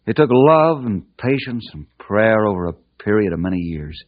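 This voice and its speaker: Casting: reassuring, upright American mentor for a boys' gang, widely varied intonation, old dull radio recording. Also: male voice, on the low side, very animated, normal speed. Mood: earnest, patient. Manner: earnest, moralizing, reassuring. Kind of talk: old-time radio drama acting.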